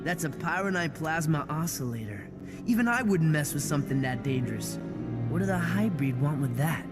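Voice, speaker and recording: A man's voice: a little high, expressive, normal speed, over loud music, natural voice. A man playing a cocky, blunt teenage boy who loves his car, with sarcastic delivery, in a video game. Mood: distrustful. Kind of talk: video game cutscene acting.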